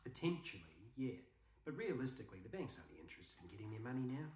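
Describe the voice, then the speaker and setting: A blunt, practical man in a cartoon, voiced by a male actor. He talks quickly with lively pitch, in an echoey space with faint music. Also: close to an everyday voice. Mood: exasperated.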